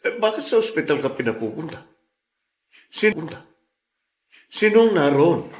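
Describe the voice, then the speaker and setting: A man's voice: a little high, very animated, normal speed, a little hiss or hum. A man playing a resolute, protective confidant in a radio drama, speaking insistently. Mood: puzzled. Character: resolute, protective male confidant urging action. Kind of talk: Filipino radio drama acting.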